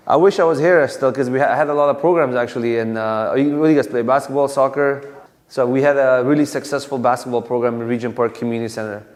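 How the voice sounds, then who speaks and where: A man in his thirties from Afghanistan, a police officer giving an informal community talk in a conversational way with many fillers and restarts. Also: mid-range voice. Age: 30s. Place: community hall. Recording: long hall reverb.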